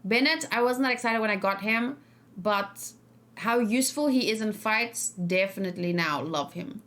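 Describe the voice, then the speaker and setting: A woman's voice: mid-range voice, moderate pace, fairly bright tone. Emphatic woman streamer chatting casually. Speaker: streamer hosting a reaction and discussion. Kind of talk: casual livestream chat, answering viewers' questions.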